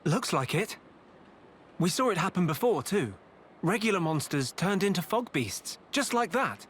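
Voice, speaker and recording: A man's voice: sits high, sing-song, normal speed, fairly bright tone, slight background noise. A man playing an earnest, thoughtful young hero in a video game, plain-spoken and determined. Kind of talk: video game cutscene acting.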